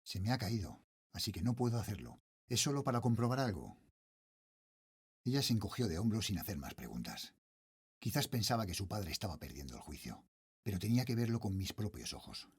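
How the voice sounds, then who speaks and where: A man playing a frightened father and night guard, telling his horror story in a Spain accent with tense, dramatic delivery and hushed phrase endings. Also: lowish voice, very animated, fairly quick, breathy edge, full character voice. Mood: uneasy, bewildered. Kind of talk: scripted first-person horror narration, audio drama.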